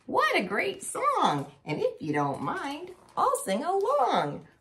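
A woman's voice: very high voice, huge pitch swings, slow, fairly bright tone, pitched above the performer's own voice, heavily acted. A woman voicing a cheerful little singing bird in a children's storybook, chirpy and sing-song.

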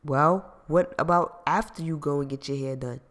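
A man reading an audiobook, voicing a jealous, possessive ex-boyfriend who accuses and shouts. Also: a little high, moderate pace, natural voice. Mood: sulky. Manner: loud, accusing, streetwise.

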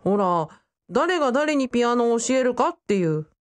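A woman's voice: on the high side, big pitch swings, moderate pace. Woman acting as an earnest, flustered young male student. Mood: puzzled. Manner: casual, deferential youthful speech, puzzled. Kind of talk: radio drama acting.

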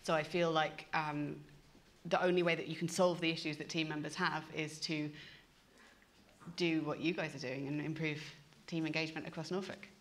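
An animated woman in her thirties with a low voice speaks on a business panel. She gestures as she talks into a lapel mic in a university hall. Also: moderate pace, slight background noise. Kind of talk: panel discussion.

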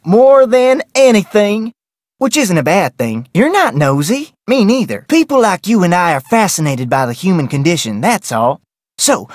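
An actress playing a chatty, bossy, easily rattled ranch cook in an adventure game, talking in a folksy, emphatic way. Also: female voice, medium pitch, dry recording, clean studio sound, close to an everyday voice. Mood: chatty, gossipy. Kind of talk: scripted adventure game character acting.

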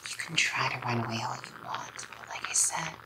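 A young woman whispers an ASMR roleplay as a possessive, coaxing girlfriend with sweet menace. Her voice is extremely low and crackles with fry, breathy and close to the microphone. Heavy background noise runs under it. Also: sing-song, brisk. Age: young adult. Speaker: ASMR creator. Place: small dim indoor room. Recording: loud background noise with handling clicks.

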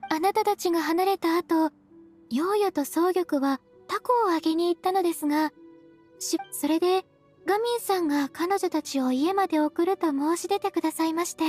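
A polite, considerate young game heroine with a very high, soft, girlish voice. She speaks carefully and soothingly over faint music. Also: normal speed. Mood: explaining politely. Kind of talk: game voice acting.

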